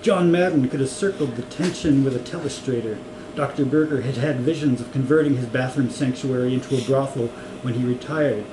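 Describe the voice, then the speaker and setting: Man reading a story in an echoey, noisy room. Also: mid-range voice. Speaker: reader of a comic novel. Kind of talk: story reading with acted dialogue. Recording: echoey room with clear noise.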